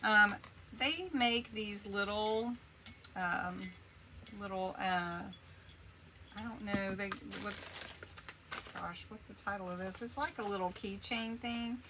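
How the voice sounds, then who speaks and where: A woman's voice: mid-range voice, slow, pauses often, background noise. A woman hosting a casual craft livestream, chatting along in a rambling way as she works and answers viewer comments.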